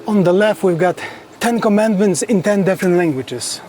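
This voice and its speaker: A man whose first language is Polish, a monk explaining his monastery grounds on a tour in slow, earnest English. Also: high-pitched, animated, a little mellow, a little hiss or hum. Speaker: Carmelite monk giving a tour. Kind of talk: casual guided tour conversation.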